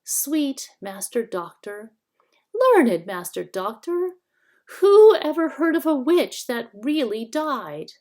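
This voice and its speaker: A wheedling old crone of a witch, acted out by a woman reading a storybook. The voice is raised high, shrill and whining. It fawns and coaxes with syrupy, false sweetness. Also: very animated, darkish tone, full character voice. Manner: fawning, drawn-out coaxing.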